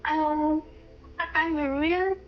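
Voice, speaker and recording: Hesitant high-voiced Thai woman. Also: fairly even intonation, takes it slowly, muffled recording. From Thailand. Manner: hesitant, searching for words with many fillers. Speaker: guest, university student. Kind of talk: casual language-practice chat.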